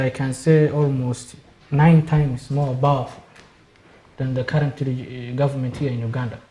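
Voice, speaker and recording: Panel guest from Somalia, man, measured delivery, dark tone, roomy studio echo. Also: mid-range voice. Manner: measured, repeats words when searching. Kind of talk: panel discussion.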